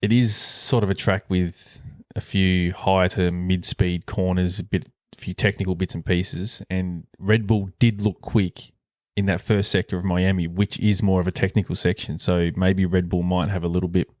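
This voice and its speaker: A man's voice: sits low, flat delivery, moderate pace, few pauses, darkish tone, dry recording, studio quality. A man hosting a podcast with casual, rambling sports chat and bantering humour. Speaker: podcast host.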